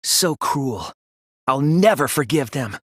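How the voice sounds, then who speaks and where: A man voicing an earnest, analytical teenage boy detective in an English video game dub, speaking in a deliberate, resolute way. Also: mid-range voice, lively intonation, no room sound, clean studio sound. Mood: grim resolve. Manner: deliberate, earnest, explanatory delivery.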